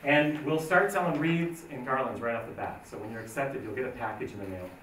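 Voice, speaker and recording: A man's voice: mid-range voice, a little fast, a bit bright. A man leading a program info night, explaining plans in an upbeat, informal way. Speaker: program leader. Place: school meeting room. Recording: echoey room, distant mic, faint noise.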